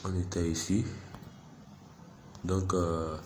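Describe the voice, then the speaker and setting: A man with a stuffy head cold giving an unhurried, self-deprecating walkthrough of an app, narrating each click. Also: sits low, level tone, background noise. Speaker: software tutorial presenter. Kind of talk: screen-recorded app tutorial.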